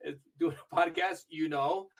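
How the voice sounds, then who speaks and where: An older man with a fairly high voice talks in a chatty, storytelling way as a podcast guest. He grew up in Argentina and perks up when recalling famous matches. He sits a little back from the microphone, so he sounds slightly distant. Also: little pitch movement, normal speed. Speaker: interview guest, video review manager. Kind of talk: casual podcast interview.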